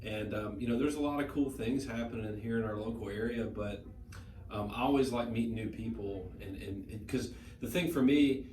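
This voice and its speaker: A man in his late thirties talks in a casual podcast chat, his voice sitting low. He rambles and circles back, repeating words as he restarts his thoughts. The recording is roomy and noisy, with the mic some way off. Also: moderate pace, a little mellow. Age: 30s. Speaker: guest, brewery owner. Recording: echoey room, noisy, mic at a distance.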